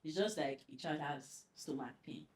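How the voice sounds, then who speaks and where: A woman doctor with a deep voice, talking on a panel and sharing a personal story in a storytelling manner. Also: slightly rough. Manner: warm storytelling, quick to laugh. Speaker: pediatric doctor and guest. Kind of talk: panel chat with personal anecdote.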